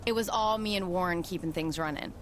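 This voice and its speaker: A woman's voice: fairly low pitch, fast, background noise, lightly acted. A woman playing a smug, manipulative gang lieutenant in a video game audio log, boasting with cool scorn. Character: smug, manipulative female gang lieutenant looking back on schemes.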